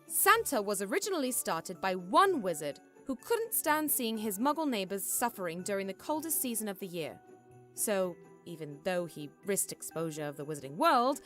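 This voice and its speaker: A woman playing a brisk, bossy young witch in a radio drama, explaining things emphatically. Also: mid-range voice, close to an everyday voice.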